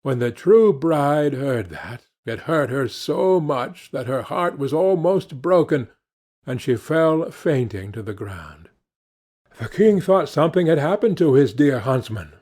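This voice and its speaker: Expressive male fairy-tale audiobook narrator. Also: mid-range voice, unhurried, slightly rough.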